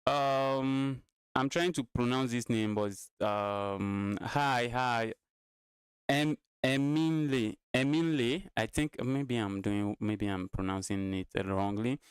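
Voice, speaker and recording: A man's voice: mid-range voice, slow, smooth, dry recording, studio quality. A man in his twenties giving casual live-stream chess commentary, talking to his viewers and repeating his own phrases. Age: 20s.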